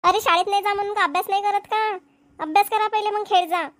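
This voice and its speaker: A fretful cartoon homemaker acted by a woman with a rural Vidarbha accent. She fusses over household problems aloud in a very high, fast voice. The sound is dry. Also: steady tone, dry recording. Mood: firm. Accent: Maharashtrian, rural Vidarbha dialect. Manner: fussing, talks problems through aloud. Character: fretful homemaker mother of two young children. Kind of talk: Marathi cartoon comedy dialogue and monologue.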